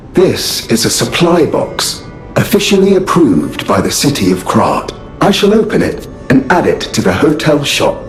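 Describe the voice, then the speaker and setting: Echoey, formal mechanical butler. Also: male voice, fairly low pitch. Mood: dutiful. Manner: formal, deferential, precise. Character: devoted, formal mechanical butler, courteous and earnest. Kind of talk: dramatic video game cutscene dialogue.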